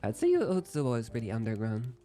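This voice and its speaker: A man's voice: mid-range voice, wildly swooping pitch, a little fast, dark tone, soft background music. Playful rambling young male streamer. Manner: rambling, playful, self-deprecating. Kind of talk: casual chat while gaming.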